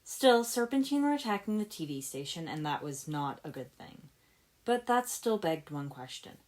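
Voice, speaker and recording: Low-pitched woman reading a story. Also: very animated, normal speed, darkish tone. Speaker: fan fiction narrator, podfic reader. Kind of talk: scripted story narration with voiced dialogue.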